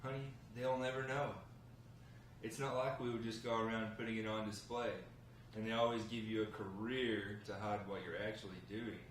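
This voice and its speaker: An earnest, hard-up man acting as a drama character with a lowish voice, persuasive and measured. He is heard from a distance in an echoey room with some hiss, reading at a table read. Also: little pitch movement, natural voice. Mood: reassuring. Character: earnest, hard-up man bargaining with a gang boss. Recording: roomy, noisy, distant mic.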